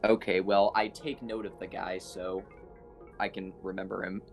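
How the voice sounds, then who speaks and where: Low, even-toned male game player. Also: moderate pace, creaky, over music. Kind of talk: casual in-character roleplay.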